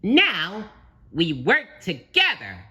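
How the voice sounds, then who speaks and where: A super high bright cartoon sponge, eager n earnest, excitable kid energy. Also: male voice, slow pace, full character voice. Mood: eager, patient. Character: eager, cheerful young male cartoon sponge.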